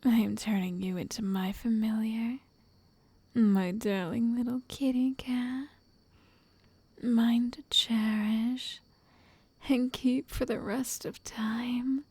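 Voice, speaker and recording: Woman as a possessive witch, ASMR roleplay, breathy half-whisper, unhurried, coaxing, controlling, very close and dry. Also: fairly high pitch, steady tone, no echo, full character voice. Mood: doting, possessive. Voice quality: breathy, soft, often whispering. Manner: slow, tender, condescending endearments.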